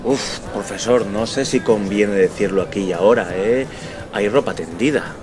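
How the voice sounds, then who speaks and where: A man's voice: on the low side, moderate pace, lightly acted. A man playing a hesitant, downcast young apprentice archaeologist in a radio soap, subdued and deferential. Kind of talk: radio soap opera acting. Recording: loud music behind the voice.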